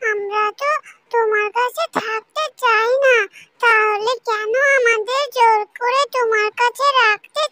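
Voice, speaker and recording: A woman's voice: very high voice, background noise. A woman voicing a small, frightened captive bird in a children's cartoon, plaintive and pleading. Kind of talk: children's cartoon story acting.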